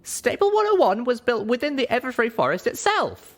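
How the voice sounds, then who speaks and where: A man voicing a small, earnest young pony mare in a raised girlish falsetto. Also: wildly swooping pitch, brisk, full character voice.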